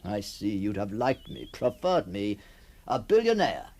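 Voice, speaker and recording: A man's voice: fairly low pitch, sing-song, unhurried, darkish tone. An actor playing a restless middle-aged man obsessed with his other self, speaking in intense, literary phrases in a ghost-story drama. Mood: bitterly wry. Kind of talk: radio ghost-story acting and first-person narration.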